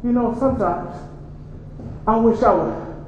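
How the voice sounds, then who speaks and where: A hot-headed young fighter who fires off fast, indignant comebacks, as a man voices him onstage. The voice is high and bounces around an echoey hall with a dull, lo-fi edge. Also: a little hiss or hum. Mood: angry. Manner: quick, indignant outbursts. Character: young male boxer, hot-tempered and hurt. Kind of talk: stage play comedy-drama.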